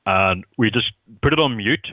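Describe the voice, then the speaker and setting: Low-voiced man, dull lo-fi sound. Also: lively intonation, normal speed, no echo. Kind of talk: casual podcast chat, telling anecdotes.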